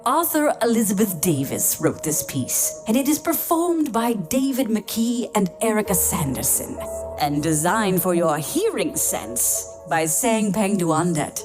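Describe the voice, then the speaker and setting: A woman hosting a children's story podcast with a playful, theatrical, deliberate scripted introduction. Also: mid-range voice, sing-song. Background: music.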